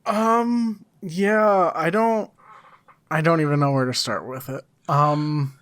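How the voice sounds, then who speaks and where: A man's voice: on the high side, slow, a bit bright, no echo. A man co-hosting a podcast, chatting casually with dry, understated humour. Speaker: podcast co-host. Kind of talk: casual comedic chat.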